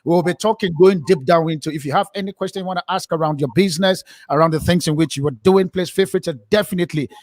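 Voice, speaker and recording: A man's voice: sits high, quick pace, hardly any pauses, a little mellow, dry recording, studio quality. A man hosting a live online interview, informal and stumbling, often repeating words as he searches for what to say. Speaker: host.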